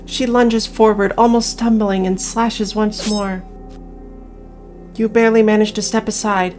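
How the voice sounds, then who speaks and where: Higher-voiced woman reading a game aloud over music. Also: little pitch movement, unhurried, darkish tone. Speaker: game player and narrator. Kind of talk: reading visual novel aloud with commentary. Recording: music behind voice.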